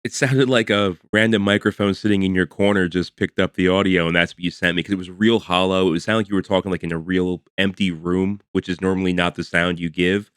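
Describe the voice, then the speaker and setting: A man's voice: low-pitched, fairly even intonation, brisk, few pauses, clean tone, dry recording, clean studio sound. A man in his forties co-hosting a podcast from home, teasing his partner in a wry, loose way and swearing freely. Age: 40s. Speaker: podcast co-host. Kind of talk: casual chat. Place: home over an internet call.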